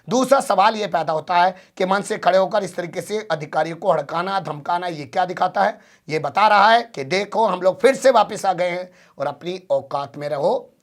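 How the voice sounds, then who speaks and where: A man's voice: high voice, creaky. A man presenting news commentary in an emphatic way, posing rhetorical questions as he goes.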